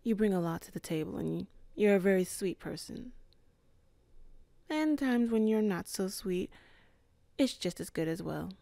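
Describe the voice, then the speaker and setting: A woman voicing a calm, composed female boss in an ASMR office roleplay, speaking in a measured, softly flirtatious way. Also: medium pitch, creaky, no echo. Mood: teasing.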